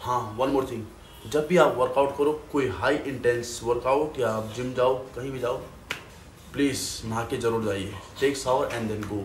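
A man's voice: medium pitch, steady tone, measured pace, a little hiss or hum. A man in his thirties talking casually to his phone camera in a fitness vlog, explaining his routine step by step and slipping English phrases into his talk. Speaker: fitness vlogger. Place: small room at home, then a gym. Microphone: phone camera at arm's length. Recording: echoey room with music behind.

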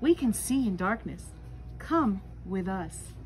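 A woman's voice: mid-range voice, expressive, slow, a little mellow, a little hiss or hum. A woman voicing a tender, reassuring mother fruit bat in a storybook, emphatic and motherly.

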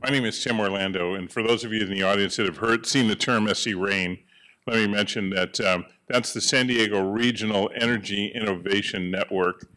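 A man in the audience of a panel, speaking on a handheld mic in a conference room, making a joking, animated comment. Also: fairly low pitch, a little fast. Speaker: audience member, board member of an energy group.

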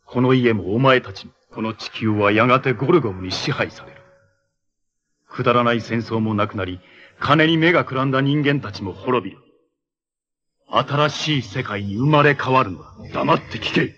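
An actor playing a menacing armored cyborg villain in a 1980s Japanese superhero TV show, making imperious, theatrical proclamations. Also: male voice, mid-range voice, moderate pace, a bit bright, muffled recording, natural voice. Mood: somber, detached.

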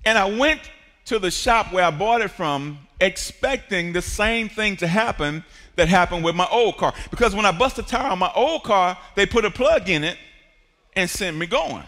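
A high-pitched, bright-toned man preaches from a church stage, swinging from quiet stretches to shouted peaks and calls for the congregation to answer. His voice rings through a large hall with a long reverb tail. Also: lively intonation, moderate pace. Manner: preaching with rising shouts and call-and-response. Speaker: pastor. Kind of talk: sermon.

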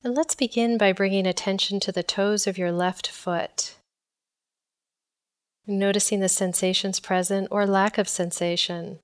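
A woman leading a guided body scan meditation in a calm, measured, instructional way. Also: medium pitch, clean tone.

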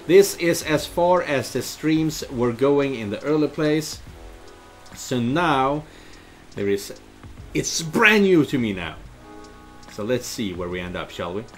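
A man in his thirties giving casual, halting let's play commentary and reading game text aloud. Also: mid-range voice. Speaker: game streamer. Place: indoor room at home. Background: game music and rain sounds.

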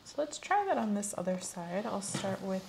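A soft-spoken woman giving gentle, clinical instructions in an ASMR medical exam roleplay. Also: medium pitch, sing-song, normal speed, creaky, a little hiss or hum. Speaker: host playing a medical examiner. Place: small indoor room set up as exam office.

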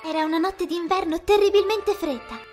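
A woman voicing a storybook narrator in an anime fandub, telling the tale in a measured storytelling manner. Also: very high voice, fairly even intonation, a little mellow, soft background music. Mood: narrating. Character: storybook narrator of a children's stage play.

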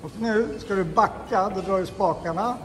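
Upbeat high-voiced man in echoey workshop. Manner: upbeat, encouraging, coaching. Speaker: vlog host, garage owner. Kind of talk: casual workshop vlog chat. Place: large car workshop, indoors.

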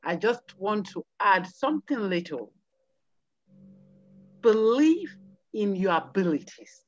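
A woman offering motivational words on an online call, emphatic and upbeat. Her pitch moves in a lively way, and the call audio sounds dull. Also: slow. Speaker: attendee. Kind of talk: motivational remarks. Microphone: video call.

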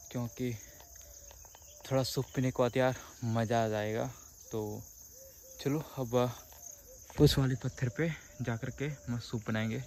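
A man's voice: mid-range voice, darkish tone, slight background noise. Young man chatting casually at a forest camp. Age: young adult. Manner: chatty, addresses viewers as guys, jokes with friends. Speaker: camping vlogger. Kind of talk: casual vlog chat. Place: outdoors in a forest campsite and inside a tent.

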